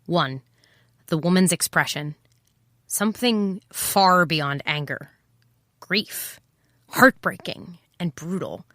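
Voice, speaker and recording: Female private eye, lowish crisp forceful voice, dry close sound, sardonic, confiding noir narration. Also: slow, pauses often, no echo. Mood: wry, reflective. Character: wry, impulsive female private eye, over twenty-seven. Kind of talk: first-person noir audio drama narration and dialogue.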